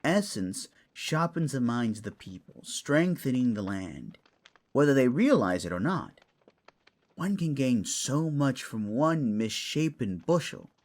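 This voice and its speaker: Man as bookish raccoon librarian, wry, musing, teacherly, animated delivery, fantasy audio drama. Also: medium pitch, measured pace. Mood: musing, fond. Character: scholarly, wry raccoon librarian, fond of scavenged books.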